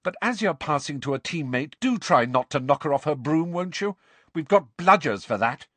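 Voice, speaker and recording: A man voicing a bossy young woman captain who gives sharp, commanding orders. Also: on the high side, animated, moderate pace, no room sound, pitched above the performer's own voice.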